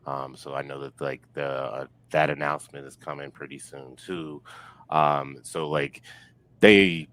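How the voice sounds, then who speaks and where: A man giving casual sports analysis as a podcast guest, halting often and leaning heavily on filler words. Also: extremely low pitch, fairly even intonation, fairly bright tone, dry recording. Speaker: podcast guest, football pundit.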